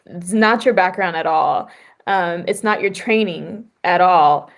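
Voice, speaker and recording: This woman hosts a casual interview podcast. She talks in a lively, chatty way and scatters "like" and "right?" through her sentences. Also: mid-range voice. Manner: animated and conversational, often adds "like" and "right?". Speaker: podcast host.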